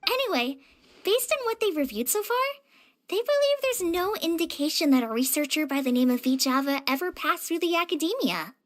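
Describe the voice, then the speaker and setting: A woman's voice: high-pitched, animated, brisk. A woman voicing an earnest, polite, slightly shy teenage girl apprentice in a video game, with an eager manner. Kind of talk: game voice acting.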